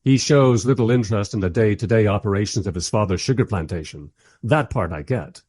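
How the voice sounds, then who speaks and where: Male actor as weary filmmaker narrator, radio drama. Husky, wry, animated intonation, very dry recording. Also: on the low side, brisk, no echo, natural voice. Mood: wry recounting. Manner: dry, sardonic storytelling. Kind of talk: radio play first-person narration.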